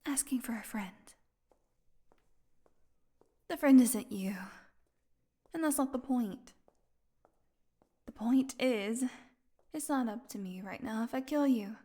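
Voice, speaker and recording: An actress plays a captive vampire woman with a fairly high, breathy voice. She speaks slowly and wearily, slipping into whispers. Her intimate roleplay delivery is sardonic, teasing and edged with hunger. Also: mellow. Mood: weary, teasing. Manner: sarcastic, breathy murmurs, drawn-out weary delivery. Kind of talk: intimate audio roleplay acting.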